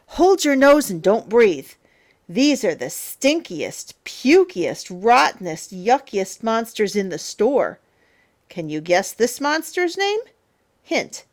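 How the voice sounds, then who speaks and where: A woman reading a children's picture book aloud as a storyteller, in a theatrical, playful, emphatic way with drawn-out words. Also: fairly high pitch, sing-song, darkish tone. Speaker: storyteller, teacher.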